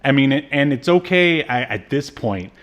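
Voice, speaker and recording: A man co-hosting a podcast, casually recapping a TV episode in a halting, informal way. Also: medium pitch, animated. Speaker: podcast co-host.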